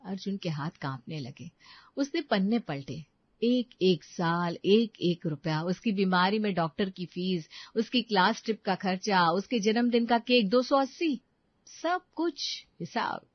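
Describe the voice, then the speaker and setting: A female storyteller reads a scripted drama in a medium-pitched voice. She delivers it in short, deliberate phrases for dramatic weight. The sound is very dry and a little dull. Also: expressive, no echo.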